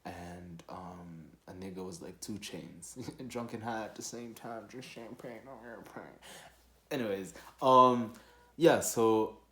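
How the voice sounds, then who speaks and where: A young man from Rwanda telling a personal story casually, in an animated, slangy and profane way. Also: lowish voice, slow pace, a little hiss or hum. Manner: animated, slangy, profane, with acted-out quotes and sound effects. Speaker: storytelling vlogger. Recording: echoey, roomy sound.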